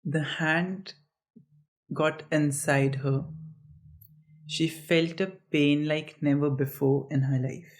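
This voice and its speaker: A storyteller reads their own dark fiction aloud at an unhurried, deliberate pace. The reading stumbles and restarts now and then. It breaks off into casual, self-mocking asides between passages. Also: medium pitch, steady tone. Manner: slow, halting reading broken by chatty asides.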